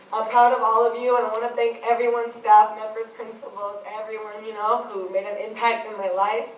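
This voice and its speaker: Hoarse young woman losing her voice, chatty grad speech, echoey gym, faint hum. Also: fairly high pitch. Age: young adult. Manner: casual, upbeat, chatty. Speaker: graduating student. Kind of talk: off-the-cuff assembly speech. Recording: echoey gym recorded from far off.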